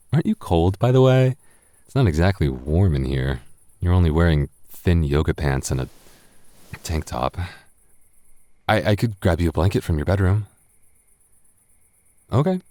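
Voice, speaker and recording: Very deep-voiced flirty tipsy roommate played by a man. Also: a little mellow, dry recording. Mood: tender, shy.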